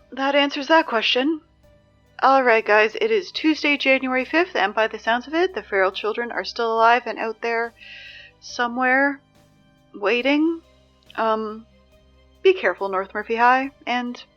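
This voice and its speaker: A woman's voice: fairly high pitch, lively intonation, a little slow, muffled recording, faint music behind. A woman playing a sarcastic, exasperated teenage girl who reads school announcements in a casual, conversational audio drama style. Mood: concerned, dry. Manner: snarky, conversational, dry.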